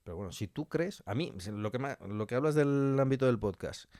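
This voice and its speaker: A man's voice: mid-range voice, expressive, fairly quick, darkish tone, no echo, studio quality. A man with an accent from Spain chatting casually and telling anecdotes, often repeating words as he searches and quoting dialogue. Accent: Spanish (Spain). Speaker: podcast guest, scriptwriter.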